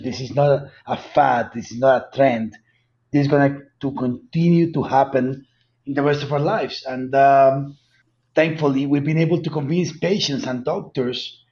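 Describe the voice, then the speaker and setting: A man's voice: medium pitch, a little mellow, highs cut off. A man from Colombia with a Colombian Spanish accent, answering interview questions earnestly and emphatically, often repeating words. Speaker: guest, cannabis company founder.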